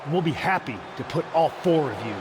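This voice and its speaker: An actor playing a smug, scornful wrestling faction leader in a video game cutscene, speaking in a taunting, condescending way. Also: male voice, a little high, lively intonation, a little fast, heavy background noise, natural voice.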